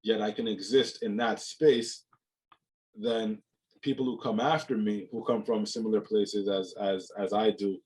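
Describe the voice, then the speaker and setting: A man's voice: fairly low pitch, steady tone, measured pace, a little mellow, poor audio. A young man from Jamaica, a guest on a video call, talking in a reflective, casual way with many fillers and repeated words. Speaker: guest, mental health speaker. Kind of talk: casual interview conversation. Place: home room, indoors.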